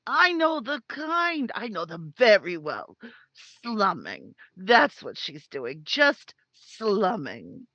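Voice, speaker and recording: An actress playing a hostile, suspicious middle-aged mother-in-law in a 1950s-style radio crime drama, with scornful, drawn-out accusations. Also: medium pitch, wildly swooping pitch, sharp tone, no room sound, dull audio, heavily acted.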